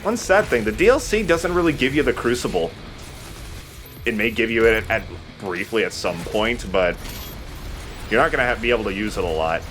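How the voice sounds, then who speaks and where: A man's voice: fairly low pitch, expressive, normal speed, fairly bright tone, soft background music. A man giving casual commentary as he plays a shooter game, as host of a let's play.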